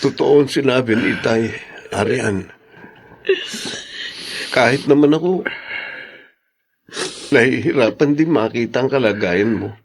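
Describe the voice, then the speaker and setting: A man's voice: medium pitch, unhurried, long pauses, lightly acted. A Filipino man playing a concerned, dutiful brother in a radio drama. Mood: sympathetic.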